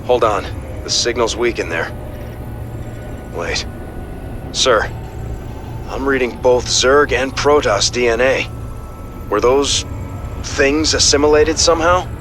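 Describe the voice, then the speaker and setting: An actor playing an earnest second-in-command in a video game, giving formal, measured briefings. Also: male voice, mid-range voice, fairly bright tone. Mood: concerned. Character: earnest, dutiful military second-in-command, adult man. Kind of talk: video game cutscene acting. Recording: music behind the voice.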